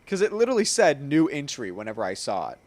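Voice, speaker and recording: A woman's voice: rumbling low, sing-song, quick pace, a little mellow, a little hiss or hum. A woman giving casual, chatty and playful commentary as she streams a video game. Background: faint game music.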